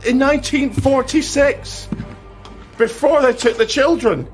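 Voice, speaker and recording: An actor playing a blunt, guilt-ridden Scottish ex-sergeant in a dramatic video game. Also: male voice, very high-pitched, unhurried, faint music behind. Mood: agitated, accusing.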